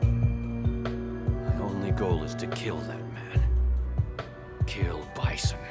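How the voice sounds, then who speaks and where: A single-minded, vengeful killer from a video game. He speaks in terse threats, very low and extremely slow, with loud music behind him. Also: steady tone. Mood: grim, vengeful. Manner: terse, menacing. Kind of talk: dramatic video game cutscene acting.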